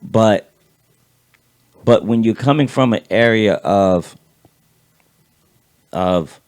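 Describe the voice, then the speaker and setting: A man co-hosting a casual political chat show, talking with a raspy voice and vocal fry, rambling and repeating words as he swears freely. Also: on the low side, extremely slow, a little mellow. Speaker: podcast co-host.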